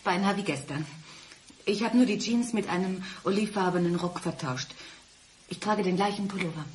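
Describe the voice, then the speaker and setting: A woman's voice: medium pitch, a little hiss or hum, natural voice. An actress playing a puzzled, hesitant woman, a man's former partner, in naturalistic radio drama. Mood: uneasy, puzzled. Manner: hesitant, halting.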